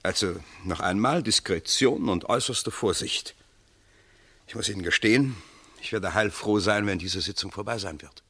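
An actor playing a worried, formal government minister in a 1950s German radio play, speaking in a measured, urgent way. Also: male voice, on the low side, fairly bright tone, breathy edge. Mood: grave, worried.

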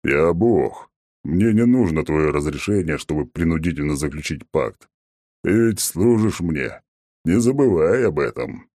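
A conceited oak-tree deity, put on by a man reading an audiobook, speaking in a very deep, dark drawl. He sounds slow and mocking, always lording it over the listener. The recording is dry and close. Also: lively intonation, no echo, heavily acted. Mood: haughty. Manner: haughty, mocking, slow and drawn-out. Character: smug arrogant male oak-tree god. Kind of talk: audiobook character voice.

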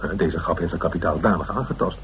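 A man's voice: low voice, fast, gravelly, fairly bright tone, low-quality recording. A man playing a flustered, easily offended legal adviser in a radio play, hesitant and stammering. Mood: worried. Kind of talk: naturalistic Dutch radio play acting. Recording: radio play recording with faint noise.

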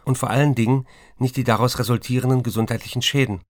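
A man's voice: medium pitch, steady tone, quick pace, dry recording, lightly acted. An actor playing a besotted, restless writer in a radio play, reading his letters aloud with dry, precise, literary phrasing. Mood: matter-of-fact.

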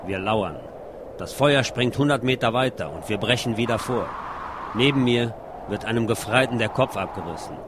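A man's voice: mid-range voice, moderate pace, noisy background. A man giving a literary reading from a war novel. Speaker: reader.

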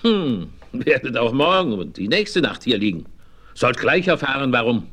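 A man's voice: medium pitch, big pitch swings, normal speed, fairly bright tone, husky. An actor playing a proud, blustery frontiersman over ninety in a western radio play, blunt and colloquial. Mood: boisterous.

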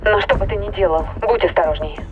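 A woman's voice: mid-range voice, fast, darkish tone, a little hiss or hum. A woman playing a caring crewmate in an audio drama, heard through a radio intercom filter. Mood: concerned. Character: caring female crewmate of the captain. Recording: dull band-limited radio link.

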